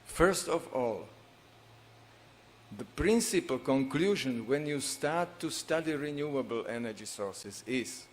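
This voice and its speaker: Slow, halting Slovak-accented man. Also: medium pitch, animated. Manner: halting, many filler pauses, non-native phrasing. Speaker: conference speaker. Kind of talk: conference talk. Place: large conference hall on stage.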